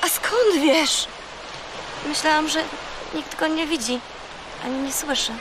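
High-voiced earnest young girl. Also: slow, noisy background. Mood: earnest, excited. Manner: eager, childlike. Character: thirteen-year-old girl, brave, earnest and imaginative. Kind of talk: children's audio drama acting.

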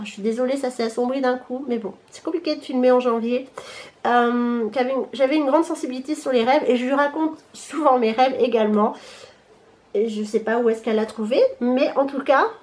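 A woman's voice: high-pitched, a little fast, darkish tone. A woman in her thirties from Bordeaux with a blocked nose, chatting casually to camera at home about her gifts, rambling with many fillers. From Bordeaux, France. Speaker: vlogger. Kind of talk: casual haul chat to camera. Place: home room with shelves and Christmas decor, indoors.

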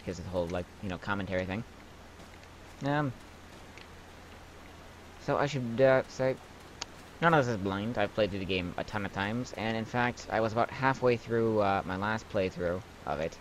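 Young male gamer, low voice that jumps around a lot, wry rambling asides, noisy home mic. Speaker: let's play commentator. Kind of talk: casual gameplay commentary.

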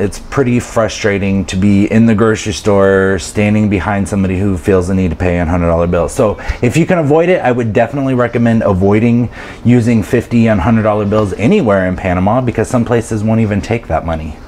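A man in his thirties chats to his camera in a small apartment room. His voice sits on the low side, and he talks in an animated, chatty way with frequent laughs. A faint background hiss runs under the close camera mic. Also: fairly quick. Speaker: travel vlogger. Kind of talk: casual talk to camera. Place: grocery store, then small apartment room indoors. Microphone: camera mic, handheld then fixed camera close up.

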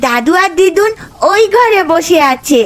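A woman's voice: very high voice, expressive, unhurried. A woman voicing a sweet, eager little village girl in a cartoon. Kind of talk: animated cartoon dialogue.

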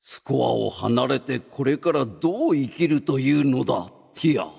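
A man's voice: medium pitch, lively intonation, moderate pace, gravelly, a little mellow, husky, heavily acted. An actor playing a stern, solemn old grandfather who preaches prophecy in a Japanese anime audio drama. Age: older. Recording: long cavernous reverb.

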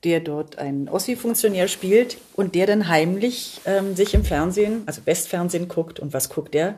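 A woman's voice: fairly low pitch, normal speed, mellow, husky. A middle-aged woman from Berlin chatting casually, telling stories in looping, unfinished sentences. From Berlin, Germany. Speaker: podcast guest, performer. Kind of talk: casual chat.

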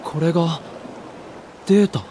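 A man's voice: fairly high pitch, measured pace, dark tone, a little hiss or hum, natural voice. A man acting an earnest, guarded teenage boy hero in a Japanese video game cutscene, in terse, hesitant phrases. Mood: surprised, puzzled.

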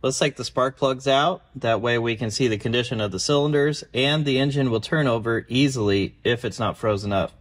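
A man in his thirties narrates a restoration job in a roomy indoor workshop. He speaks in an even, methodical way, calm and practical. The sound is a camera mic in a garage. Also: mid-range voice, brisk, hardly any pauses. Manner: calm, methodical, matter-of-fact. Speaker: car restoration video host. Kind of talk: explanatory workshop walkthrough.

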